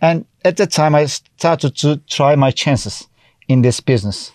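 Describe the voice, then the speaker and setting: A man with a Turkish accent, chatty and a bit high. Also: a little slow, a little mellow, no echo, clean studio sound. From Turkey. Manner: chatty, restarts often, acts out his own past remarks. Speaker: guest, TV host and comedian. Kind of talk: casual interview chat.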